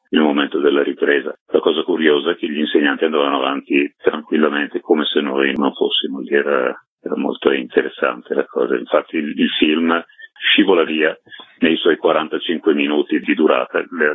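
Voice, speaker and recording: An extremely deep-voiced man with a husky tone explains his work as a documentary director. He talks conversationally, as a radio guest on a narrow phone-like line. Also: flat delivery, a little fast, no room sound.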